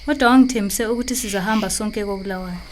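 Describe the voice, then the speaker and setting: A woman with a Zimbabwean accent acting a soft-spoken, doubting secret girlfriend in a radio drama. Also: medium pitch, rapid-fire, slight background noise, natural voice. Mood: sulky, soft.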